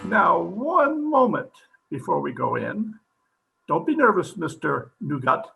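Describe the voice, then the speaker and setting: A self-important, excitable radio-comedy doctor acted by a man. His theatrical voice is bright and forceful, sitting high and swinging widely as he pronounces. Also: unhurried, vocal fry, faint music behind, exaggerated voice. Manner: pompous, theatrical. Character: pompous, overexcitable male psychiatrist in a radio comedy. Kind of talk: old-time radio comedy acting.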